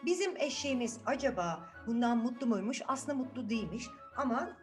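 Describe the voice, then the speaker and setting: A woman's voice: fairly high pitch, very animated, a little fast, faint music behind. A woman telling a children's fairytale in a chatty way, asking rhetorical questions and repeating words for effect. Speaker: children's storyteller.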